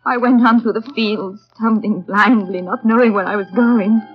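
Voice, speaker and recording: An actress playing an earnest, resolute, sorrowful young English governess in formal, measured 1930s radio drama style. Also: female voice, on the high side, steady tone, natural voice. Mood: wistful narrating. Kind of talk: 1930s radio drama acting and first-person narration. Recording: dull 1930s radio broadcast with music.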